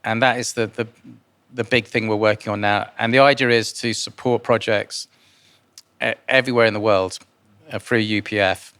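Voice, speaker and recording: A man with a fairly low, smooth and resonant voice, speaking as an interview guest. His answers are informal and hesitant, with words repeated as he goes. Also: fairly even intonation, moderate pace, a bit bright. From born in Guyana. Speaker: interviewee, philanthropist and investor.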